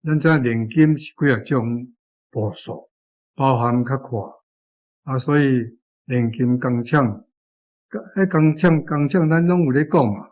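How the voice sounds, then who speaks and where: A man with a Taiwanese accent explaining as a language teacher, hesitant and pausing, over an online link. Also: mid-range voice, a little mellow. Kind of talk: unscripted explanation. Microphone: remote online connection. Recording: dull remote link with faint music.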